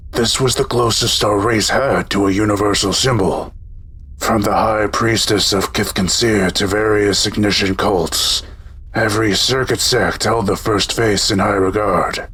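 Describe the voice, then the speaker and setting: A man playing a pompous, scholarly old robot philosopher in a lecturing manner, with a husky voice. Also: lowish voice, fairly even intonation, unhurried, fairly bright tone, full character voice, low-quality recording. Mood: lofty, lecturing.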